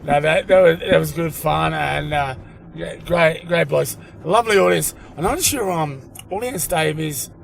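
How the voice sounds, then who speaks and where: A man's voice: a little slow, creaky. A middle-aged Australian man, a comedian chatting casually and telling anecdotes in slangy, sweary language. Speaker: stand-up comedian. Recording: noisy in-car recording, traffic rumble.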